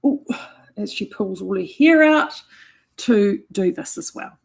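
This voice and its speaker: A middle-aged woman with a broad New Zealand accent chats to camera from her yarn shop. Her voice sits at an ordinary pitch with a husky, slightly breathy edge. She talks in an eager, rambling way, with lots of ums and restarts. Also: expressive, measured pace. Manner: chatty, enthusiastic, many fillers and restarts. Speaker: yarn shop owner and knitting vlogger. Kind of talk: casual chat to camera.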